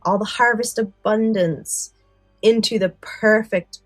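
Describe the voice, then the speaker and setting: A woman's voice: medium pitch, fairly even intonation, slow pace, no echo, soft background music. A woman co-hosting a podcast, explaining a favourite subject with enthusiasm, moving between prepared summary and casual discussion. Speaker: podcast co-host.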